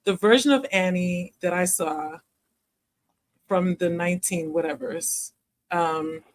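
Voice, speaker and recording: A woman with a deep voice, chatting casually as a guest on a call and laughing at herself often. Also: takes it slowly. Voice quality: deep speaking voice. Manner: self-deprecating, laughs often. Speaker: live stream guest. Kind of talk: casual chat.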